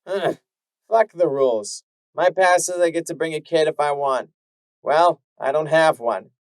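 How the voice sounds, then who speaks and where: Gruff, no-nonsense mare, a bit raised and loud, done by a man. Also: a little high, unhurried, no room sound, voice pushed up, low-quality recording. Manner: harsh, blunt. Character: tough, blunt mare with a soft side.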